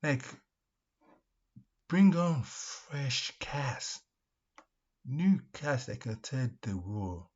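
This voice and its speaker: A man giving casual opinion commentary as a video host, speaking in an emphatic, repetitive way with halting restarts. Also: medium pitch, very animated, a little mellow. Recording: dull, lo-fi microphone sound.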